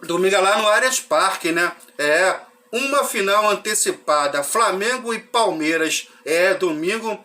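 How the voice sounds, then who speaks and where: A man, hoarse with a dry cough, recapping a football match casually for fans and tagging his sentences with questions. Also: high-pitched, measured pace, bright, forceful tone. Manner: chatty, frequent "né?" tag questions. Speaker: football channel host.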